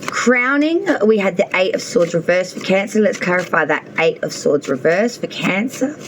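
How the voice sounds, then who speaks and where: A woman's voice: lowish voice, expressive, normal speed, a little hiss or hum, low-quality recording. A woman doing a casual tarot reading, chatty and thinking aloud as she draws cards, with an offhand swear now and then. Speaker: tarot reader.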